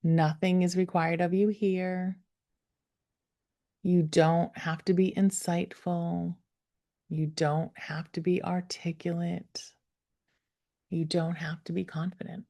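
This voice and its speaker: A woman guides a meditation in a low, mellow voice with a noticeable creak. She is gentle and unhurried, leaving space between phrases. Her phrase endings soften into breath, close to a whisper. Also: flat delivery. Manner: gentle, unhurried, with long pauses. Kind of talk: guided meditation, slow soothing instruction.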